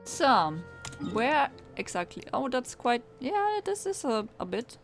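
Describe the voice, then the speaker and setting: A woman's voice: sits high, sing-song, slow. A woman game streamer giving informal commentary, reacting aloud in short bursts as she plays. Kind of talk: casual gameplay commentary. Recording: faint game music behind.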